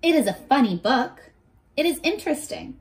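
A woman in her twenties reading aloud and teaching young children in an animated, encouraging way, pausing to ask questions, recorded at arm's length indoors. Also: sits high. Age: 20s. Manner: animated, encouraging, asks questions and pauses for answers. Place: small furnished bedroom, indoors.

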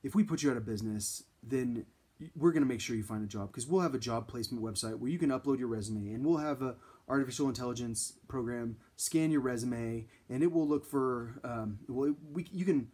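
A man's voice: medium pitch, fairly quick, mellow, slightly rough. A man, talking straight to camera in a small room, rambling with many ums and false starts. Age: 30s. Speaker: vlogger. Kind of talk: casual direct-to-camera talk. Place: small indoor room against a plain wall.

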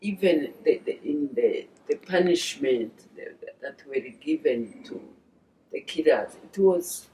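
An older woman with a Rwandan accent giving personal testimony in an interview, speaking in a halting, deliberate and earnest way. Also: sits low, expressive, dark tone, a little hiss or hum, low-quality recording. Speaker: interviewee, former liberation movement member.

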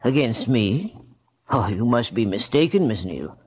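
An actor playing a timid, fussy middle-aged office worker, hesitant and prim, in old-time radio drama style. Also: male voice, medium pitch, very animated, measured pace, darkish tone, slightly rough. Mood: flustered, pleading. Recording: muffled old radio recording.

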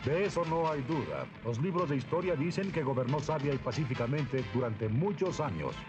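An actor playing a calm, kindly young hero prince in a Latin American Spanish cartoon dub, speaking in a measured way. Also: male voice, mid-range voice, over loud music, lightly acted. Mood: calm, noble. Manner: measured, earnest. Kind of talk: animated series dubbing.

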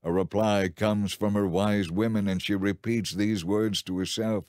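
A man reading as an audiobook narrator in a dramatized, solemn and measured scripted Bible narration. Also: lowish voice, fairly even intonation, darkish tone, no room sound, clean studio sound.

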